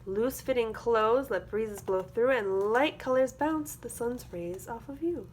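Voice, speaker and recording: A woman reading a children's picture book aloud as a teacher, with expressive, rhythmic delivery. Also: a little high, measured pace. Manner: rhythmic, expressive delivery of rhyming verse with explanatory asides. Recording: clear background noise.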